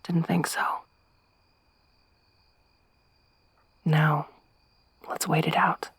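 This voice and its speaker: A woman voicing a tough young woman survivor around thirty in an ASMR roleplay, soft-spoken with dry humor. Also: deep voice, little pitch movement, measured pace, breathy edge, no room sound, heavily acted. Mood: hushed. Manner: hushed whispering when hiding.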